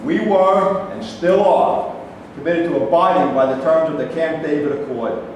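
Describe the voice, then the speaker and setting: A man in a staged play reading, playing a firm, distrustful Israeli who defends his country in deliberate, emphatic debate. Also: on the high side, a little mellow, natural voice. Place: reverberant room at a staged reading. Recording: very echoey hall, distant mic, faint noise.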